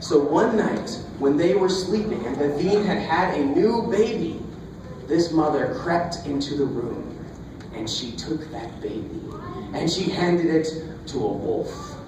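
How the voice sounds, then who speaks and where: A man telling a comic fairy tale live at a festival, in a playful, theatrical way with deliberate pauses. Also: fairly high pitch, expressive. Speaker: storyteller. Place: storytelling festival, live performance. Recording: echoey, distant, noisy live festival recording.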